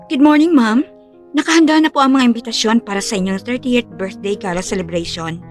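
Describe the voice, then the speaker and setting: A woman's voice: fairly high pitch, fairly quick, soft background music, close to an everyday voice. A woman playing a polite, efficient young assistant in an audio drama, speaking formally.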